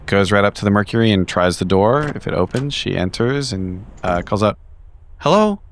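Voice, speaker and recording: A man improvising as a player in a tabletop role-playing game, speaking casually and haltingly. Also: fairly low pitch. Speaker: player in an actual-play podcast.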